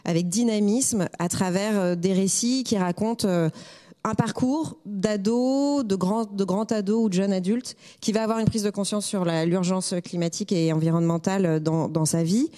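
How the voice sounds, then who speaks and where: A woman's voice: mid-range voice, normal speed, warm tone, in a large hall. A middle-aged woman guest on a studio talk show, speaking with many fillers and restarts into a handheld mic. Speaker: guest, editorial director. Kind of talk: interview. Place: small studio set.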